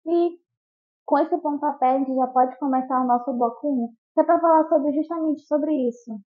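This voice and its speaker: A woman's voice: high-pitched, moderate pace. A woman hosting a podcast, politely introducing the guest and reading prepared segment introductions. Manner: polite, formal address, reads prepared intros. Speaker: podcast host. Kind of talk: podcast interview. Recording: tinny phone-like line.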